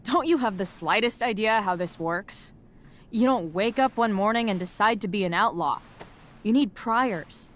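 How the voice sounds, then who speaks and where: A woman's voice: medium pitch, normal speed, a little hiss or hum, natural voice. An actress playing a rebellious teenage girl, blunt, biting and sarcastic, in a video game drama. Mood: defiant, mocking. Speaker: voice actor.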